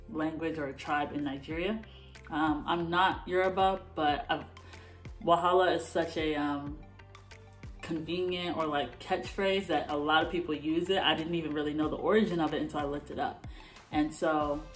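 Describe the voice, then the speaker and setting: A young woman vlogs from her bedroom, talking straight to the camera in a relaxed, animated way and gesturing as she explains. Her voice sits low for a woman. Soft music plays faintly behind her. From born in Canada, raised in the US. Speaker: vlogger. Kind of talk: casual talk to camera. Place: bedroom at home, indoors.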